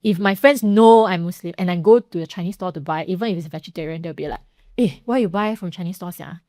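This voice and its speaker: A young Singaporean woman with a Singaporean accent chats easily as a podcast host, filling her stories with "like" and "you know." Her voice sits slightly low. The sound is dry and close, with no room echo. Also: fast talker, warm tone. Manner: chatty, storytelling, frequent "like" and "you know". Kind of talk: casual conversational interview.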